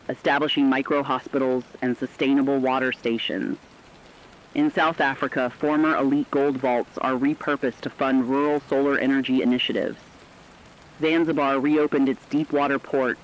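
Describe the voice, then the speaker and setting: Dull lo-fi radio sound, man playing an earnest news correspondent, medium pitch, formal scripted read, very measured. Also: natural voice.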